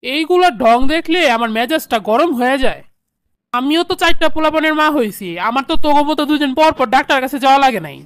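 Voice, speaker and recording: A high, quick cartoon mother-in-law played by a woman, middle-aged and hot-tempered. She scolds and complains in colloquial Bangladeshi speech. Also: no room sound, clean studio sound, close to an everyday voice. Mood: scolding, resentful. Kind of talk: cartoon drama dialogue.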